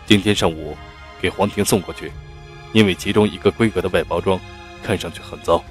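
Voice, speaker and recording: A man playing an earnest, deferential company manager in an audiobook, speaking in a measured, businesslike way. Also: low voice, soft background music, close to an everyday voice. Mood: businesslike, concerned. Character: earnest, deferential male manager reporting to his boss. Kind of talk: audiobook character acting.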